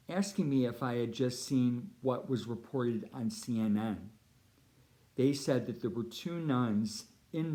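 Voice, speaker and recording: A middle-aged man with a Californian American accent, a priest giving a prepared appeal talk in measured, deliberate phrases. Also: mid-range voice, dark tone. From United States (Chicago and Southern California).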